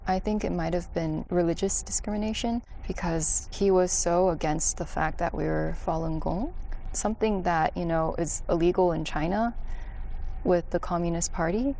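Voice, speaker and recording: A woman's voice: on the low side, normal speed, gravelly, mellow, slight background noise. A young woman telling her story in an interview, hesitant and full of "like" fillers. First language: English. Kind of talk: personal account in interview.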